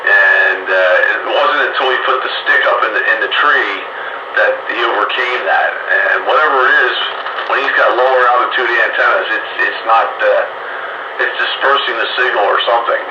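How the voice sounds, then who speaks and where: A man's voice: mid-range voice, normal speed, lo-fi sound, very noisy. A man chatting casually as an amateur radio operator, hesitantly offering theories piece by piece over an echoey, distant radio signal. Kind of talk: casual on-air radio chat. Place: two-way radio link.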